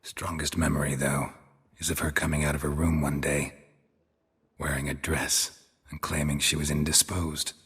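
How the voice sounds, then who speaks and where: An actor playing a stoic, weary middle-aged monster hunter in a video game, with a gravelly, husky voice and terse, clipped delivery. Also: male voice, rumbling low, flat delivery, moderate pace, fairly bright tone, heavily acted. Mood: somber, reflective. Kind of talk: restrained video game dialogue.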